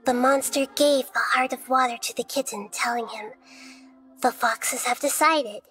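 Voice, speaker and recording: A woman voicing a small, childlike female deity in a video game, gentle, wise and composed, speaking softly in measured, thoughtful phrases. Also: sits high, low-quality recording. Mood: solemn storytelling. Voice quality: soft, girlish. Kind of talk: video game dialogue and fairy-tale narration. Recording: music under the voice. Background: music.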